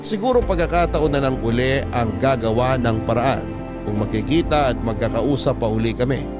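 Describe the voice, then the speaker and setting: A man's voice: medium pitch, expressive, moderate pace. Male narrator for an audio love story, scripted first-person delivery, heavy music bed. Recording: loud music bed under the voice.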